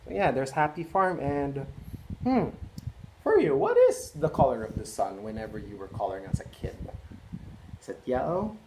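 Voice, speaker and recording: A man's voice: medium pitch, big pitch swings, moderate pace, darkish tone. A man reading a picture book aloud, chatting and asking listeners questions. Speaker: read-aloud host. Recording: echoey room, faint noise.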